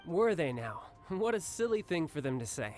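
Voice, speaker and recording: An actor playing a confident young warrior and doting older brother in a video game, playful, teasing and smooth-talking. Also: male voice, fairly high pitch, very animated, moderate pace, darkish tone, soft background music. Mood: indulgent. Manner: teasing, self-assured, theatrical.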